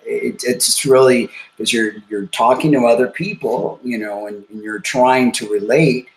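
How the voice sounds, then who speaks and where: A man's voice: mid-range voice, a little slow, reverberant, rough recording. A middle-aged man co-hosting a casual talk show from a home kitchen, speaking emphatically with frequent restarts. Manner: emphatic, excitable, many restarts. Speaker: co-host. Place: small home kitchen, indoors.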